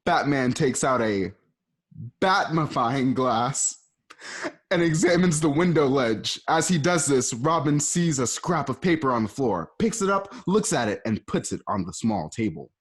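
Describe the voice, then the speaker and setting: Narrator: man, husky, lively pitch, casually reads stage directions with loose asides. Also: measured pace. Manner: casual, animated, adds asides. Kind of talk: radio play narration.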